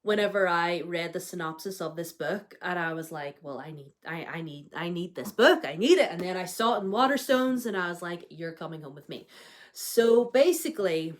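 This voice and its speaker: A woman's voice: fairly low pitch, animated, moderate pace. A young woman talks casually about her new books with a slight cold in her voice. She is chatty, and her speech is full of ums and likes. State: has a cold, may cough. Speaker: book vlogger. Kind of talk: casual chat.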